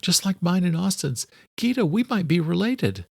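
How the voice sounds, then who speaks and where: An earnest, anxious woman doctor as a male narrator plays her in a thriller audiobook. The voice is raised high and polite, recorded dry and close. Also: sing-song, moderate pace, vocal fry, a little mellow, no room sound, exaggerated voice. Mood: excited.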